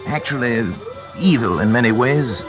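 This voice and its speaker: A man's voice: mid-range voice, animated, moderate pace, over music, lightly acted. A man playing an obsessed middle-aged scientist, urgent and dramatic, in 1940s radio drama style. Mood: brooding. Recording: noisy, crackly old radio recording.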